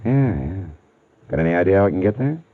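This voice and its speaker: A skeptical gumshoe with a low voice and a wry, deadpan delivery. He talks like a classic 1940s radio noir hero, quick with a wisecrack. The old recording is boxy and phone-like. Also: slight background noise. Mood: dry, probing. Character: hard-boiled male private detective, wry and skeptical. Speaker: detective and narrator. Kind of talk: 1940s radio acting with first-person noir narration.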